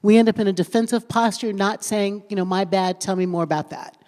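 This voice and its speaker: A woman's voice: medium pitch, steady tone, fairly quick, a little mellow. Earnest woman panelist in a big hall. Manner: earnest, repeats phrases while thinking aloud. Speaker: pastor on a panel. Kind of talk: panel discussion. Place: lecture hall at a conference. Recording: large hall with long reverb.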